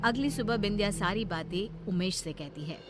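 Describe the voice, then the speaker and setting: A woman's voice: mid-range voice, normal speed, faint music behind. A woman giving scripted narration for a cartoon horror story.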